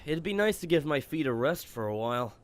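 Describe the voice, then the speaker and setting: A man's voice: fairly high pitch, animated, brisk, close to an everyday voice. A man playing a modest, agreeable sidekick in an audio drama, casual and unassuming.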